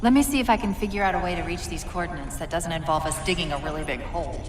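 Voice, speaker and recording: An actress playing a witty, analytical female AI companion in a video game, explaining things briskly with dry humor. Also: on the low side, background noise, close to an everyday voice. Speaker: game character. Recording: game audio with music.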